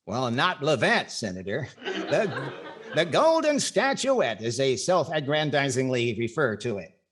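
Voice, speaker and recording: Man playing a lively, wry radio comedy host. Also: mid-range voice, a little slow, husky, heavily acted. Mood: wry, playful. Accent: American. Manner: wry, wordy, playfully pompous. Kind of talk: 1940s radio comedy acting.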